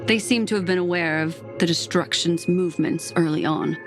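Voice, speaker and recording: A poised, secretive memory keeper from a video game, played by a woman, with a fairly low voice. The speech is measured and formal, with music clearly playing behind it. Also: natural voice. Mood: grave, measured. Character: composed, secretive female keeper of cosmic memories.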